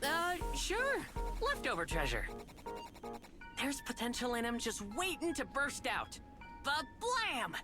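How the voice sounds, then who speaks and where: Upbeat young tinkerer, breezy and boastful, swooping pitch, video game, loud music behind. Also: female voice, huge pitch swings, slow, long pauses, full character voice. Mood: breezy, cheerful. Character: cheeky, upbeat young tinkerer proud of junk. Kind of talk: scripted video game voice acting.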